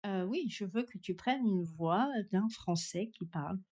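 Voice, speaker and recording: A woman's voice: mid-range voice, unhurried, a little mellow. A woman speaking in a direct, critical way, questioning and demonstrating as a pronunciation coach. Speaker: pronunciation coach testing a voice assistant.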